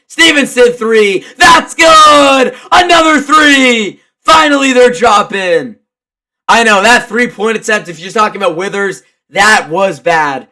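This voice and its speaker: A man with a stuffy, congested voice doing live basketball play-by-play with casual, excitable reactions. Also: a little high. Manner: excitable, shouts on big plays. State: congested from a cold. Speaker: live play-by-play commentator and streamer.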